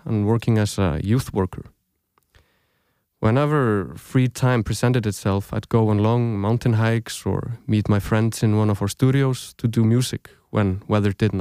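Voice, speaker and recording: The host you would hear in earbuds on a thoughtful podcast: a young Icelandic man, native in Icelandic, telling his story at a measured pace in a dry, echo-free booth. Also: on the low side, mellow, clean tone. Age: young adult. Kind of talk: scripted podcast narration.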